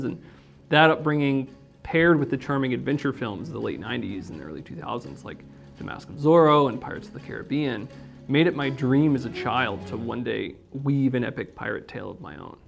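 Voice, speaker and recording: Faint music sits behind an American man with a mid-pitched, gravelly voice. He talks in a promotional interview style as the creator of an audio drama. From North Carolina, United States.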